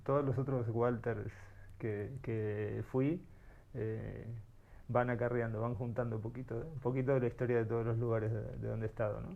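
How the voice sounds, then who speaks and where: A man's voice: medium pitch, little pitch movement, normal speed, creaky, narrow, tinny audio. A middle-aged man born in Uruguay, telling his life story as an interview guest, thoughtful and searching for words. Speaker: guest, artist.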